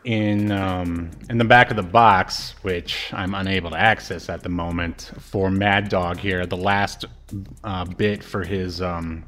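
A man's voice: low-pitched, fairly even intonation, measured pace, fairly bright tone, slight background noise. A man co-hosting a podcast, chatting casually and jokingly about a game in loose, slangy phrases that often trail off. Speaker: podcast co-host.

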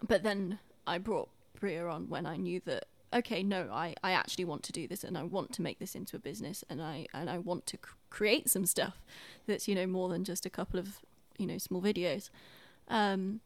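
A woman's voice: lowish voice, normal speed, hardly any pauses, no room sound. A woman talking casually and earnestly on a podcast, explaining things in an explanatory way.

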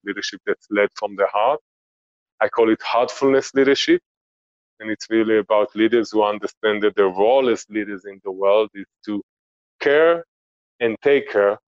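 A man in his forties with an Israeli accent, a podcast guest speaking slowly and reflectively from home, often searching for words. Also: lowish voice, dry recording, muffled recording. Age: 40s. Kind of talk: podcast interview. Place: home, remote interview.

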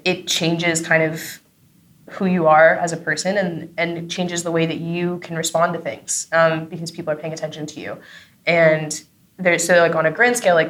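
A woman's voice: deep voice, a bit bright. A woman giving casual, chatty interview answers as a guest, with informal phrasing and frequent tag questions. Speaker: interview guest, audio drama creator and comedy performer. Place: on location at a film festival.

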